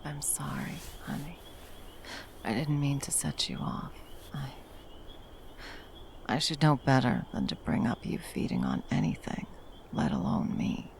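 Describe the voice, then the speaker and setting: A woman's voice: a bass voice, sing-song, measured pace, vocal fry, pauses often, darkish tone, exaggerated voice. A woman playing a battle-hardened monster hunter, violent yet a tender protective lover, in an intimate ASMR roleplay. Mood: soothing, worried. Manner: intimate, sweary, teasing and soothing endearments. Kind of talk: ASMR roleplay, intimate scripted monologue addressed to the listener.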